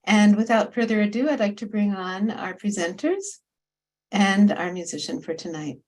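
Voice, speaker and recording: Lady host on a video call welcoming a group to a meditation program, medium pitch. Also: lively intonation, moderate pace, darkish tone.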